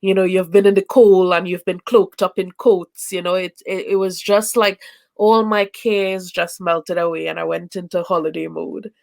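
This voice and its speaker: A woman's voice: fairly low pitch, level tone, moderate pace, creaky, darkish tone. A woman from Trinidad, a young adult and a traveling parent, chats as an interview guest, full of fillers and restarts. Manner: chatty, many fillers and restarts. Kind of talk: casual video chat. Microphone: video call.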